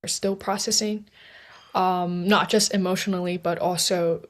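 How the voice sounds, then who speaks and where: A young woman from China, a native Chinese speaker, giving a heartfelt address with many hesitant pauses. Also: lowish voice, fairly even intonation. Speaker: student speaker.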